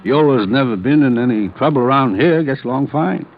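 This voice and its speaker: An actor playing an easygoing American police sergeant in 1950s radio drama, casual, clipped and plain-spoken. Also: male voice, medium pitch, animated, a little fast, a little hiss or hum, lightly acted. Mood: easygoing, matter-of-fact. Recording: muffled old radio recording.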